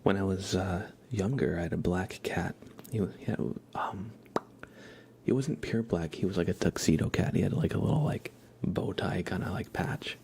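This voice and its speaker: A man hosting an ASMR livestream, chatting casually in a soft, hushed, gentle manner. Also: low-pitched, moderate pace, gravelly, slight background noise. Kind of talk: whispered ASMR, casual rambling chat.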